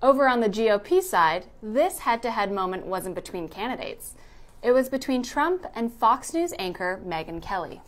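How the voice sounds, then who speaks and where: A woman's voice: fairly high pitch, sing-song, moderate pace. A woman reading a scripted narration in the style of a news recap.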